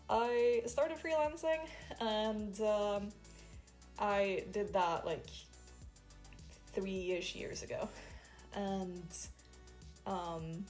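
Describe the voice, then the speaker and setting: A woman's voice: medium pitch, a little mellow. A young woman from Sweden with a Swedish accent, a freelance artist chatting casually to camera with lots of fillers and small laughs.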